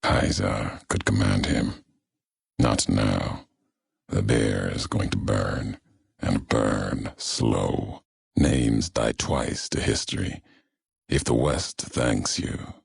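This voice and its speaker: An actor playing a grim, brooding wasteland wanderer in a video game, speaking gravely in cryptic, deliberate, clipped phrases with a gravelly voice. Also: male voice, extremely low pitch, heavy reverb, full character voice. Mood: cold, judging. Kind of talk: video game character dialogue.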